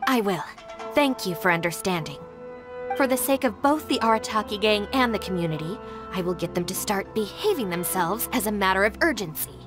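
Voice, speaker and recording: A woman's voice: medium pitch, lively intonation, brisk, natural voice. A woman playing a stern, composed young female gang deputy in a video game, formal and firm in manner. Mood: apologetic, composed. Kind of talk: video game English dub acting. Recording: music behind the voice. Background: game music.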